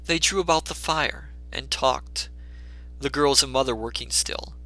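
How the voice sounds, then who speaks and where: Slow, creaky-voiced male storyteller. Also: medium pitch, rough recording. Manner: measured, expressive. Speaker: narrator. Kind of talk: dramatic storybook narration.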